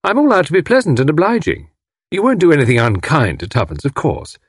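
Young English detective, male reader: storybook hero, cheeky, breezy, polite, unruffled, raised pitch, bouncing melody. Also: very animated, fairly quick, breathy edge, no echo, studio quality, exaggerated voice. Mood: obliging, flippant.

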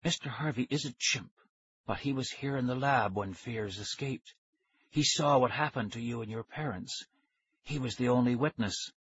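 A man murmuring as a hushed calm scientist. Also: mid-range voice, normal speed, husky, no echo, highs cut off. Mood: soothing. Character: soft-spoken older male scientist, calm.

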